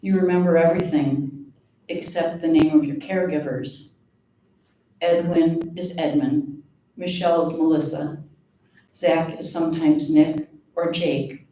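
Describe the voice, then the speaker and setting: Female poet, live reading, unhurried measured delivery; very reverberant, distant recording with faint noise. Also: sits low, fairly even intonation, dark tone. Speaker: poet reading own work. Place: live reading event before an audience. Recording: very echoey room, distant mic, faint noise.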